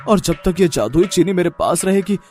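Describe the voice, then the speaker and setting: Man playing an animated, cheerful poor village sweet seller. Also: high-pitched, normal speed, faint music behind. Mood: happy, reassuring. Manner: eager, loud, reassuring, expressive. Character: cheerful, humble poor jalebi seller, loving village husband. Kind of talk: Hindi animated moral story voice acting.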